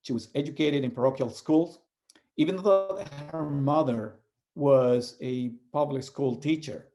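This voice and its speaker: A man from El Salvador, giving an earnest, measured reflection and reading aloud with pauses. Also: a little high, mellow, soft background music. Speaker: community organizer sharing a reflection.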